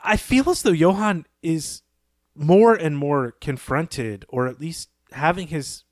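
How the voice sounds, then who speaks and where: Measured, reflective male podcast co-host. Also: on the high side, dry recording, clean studio sound. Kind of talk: literary discussion podcast.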